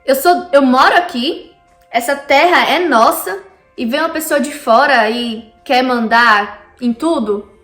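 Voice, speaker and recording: Brazilian woman vlogger, higher voice, chatty and direct with viewers; echoey room, faint music under. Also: unhurried, fairly bright tone. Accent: Brazilian Portuguese. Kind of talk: casual explainer vlog. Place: lives in Canada.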